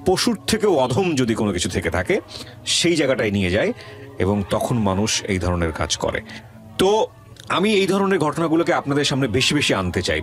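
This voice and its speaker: Animated Bangladeshi male radio host, emphatic. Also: medium pitch. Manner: emphatic, repeats phrases for weight, mixes in English words. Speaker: radio show host and interviewer. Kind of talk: reflective monologue and interviewing a guest. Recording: music under the voice.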